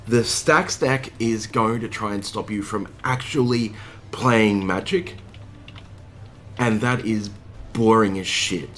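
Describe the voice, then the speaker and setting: A man from Australia co-hosting a casual card game stream, his voice still rough after covid, talking frankly with lots of ums. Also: lowish voice, a bit bright. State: recovering from covid, voice affected. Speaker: streamer and co-host.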